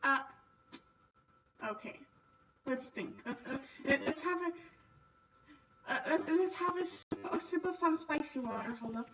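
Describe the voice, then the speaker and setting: A woman's voice: high-pitched, unhurried, pauses often, fairly bright tone, far from the mic, muffled recording. A woman livestreaming a game, chatting casually and playfully with her viewers. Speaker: livestreamer playing a visual novel. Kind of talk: casual chat while gaming.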